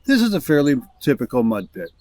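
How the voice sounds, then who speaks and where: A man's voice: a little high, huge pitch swings, fast talker, dark tone, no echo. Middle-aged man, American accent, scripted travel narration, dry wry humor. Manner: wry, understated storytelling. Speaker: hiking vlogger.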